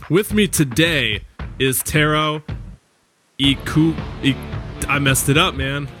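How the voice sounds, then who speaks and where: A man's voice: fairly high pitch, steady tone, takes it slowly, over music. A man hosting a podcast interview in a casual, easygoing way, with plenty of fillers. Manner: easygoing, loose, many fillers. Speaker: podcast host. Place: lives in the US Pacific Northwest.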